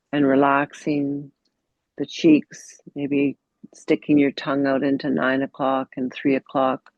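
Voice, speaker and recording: A woman meditation teacher leading a guided mindfulness practice in a gentle, unhurried way, pausing between short phrases, on dull, muffled audio. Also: very deep voice, no echo.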